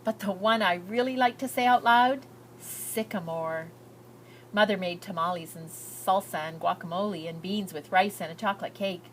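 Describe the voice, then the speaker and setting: A woman's voice: medium pitch, moderate pace, slight background noise, lightly acted, poor audio. Earnest young girl read by a woman, lilting. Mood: earnest, hopeful. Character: earnest, shy, hopeful immigrant girl writing letters.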